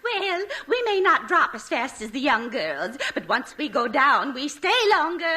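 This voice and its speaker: Older club lady, high swooping voice, bright, gushing, coy, vintage radio comedy. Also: exaggerated voice. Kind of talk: 1940s radio comedy acting.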